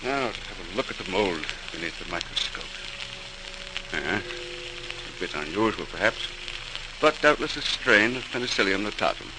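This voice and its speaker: A man acts out a dedicated middle-aged man of science from a 1940s radio play. His delivery swings widely in pitch, like a lecturer thinking out loud over his work. The old transcription crackles and hisses strongly. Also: lively intonation, normal speed, pauses often, close to an everyday voice. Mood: absorbed, musing. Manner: lecturing aloud, muttering to himself while working.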